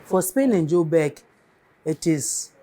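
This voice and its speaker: A woman with a Ghanaian accent answering interview questions as an air traffic controller, explaining things step by step in a deliberate, emphatic way. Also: low voice, lively intonation, warm tone.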